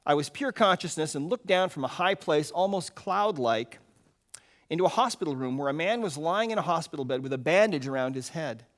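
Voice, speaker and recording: Higher-pitched man reading a story aloud. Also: expressive, brisk. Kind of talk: expressive story reading to a live audience. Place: live retreat event.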